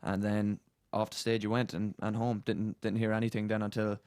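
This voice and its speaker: Low, even-toned young Irish man. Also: a little fast, clean tone, dry recording, clean studio sound. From Ireland. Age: early 20s. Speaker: boy band singer, interview guest. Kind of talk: casual interview chat. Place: radio studio. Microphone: studio microphone.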